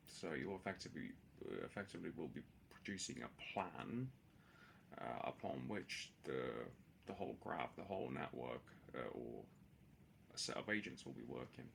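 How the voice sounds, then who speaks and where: Deep-voiced man talking haltingly through a demo. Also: gravelly, a little hiss or hum. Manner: hesitant, halting explanation with many filled pauses. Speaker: presenter of a software demo. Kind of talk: screen-share tutorial narration, unscripted.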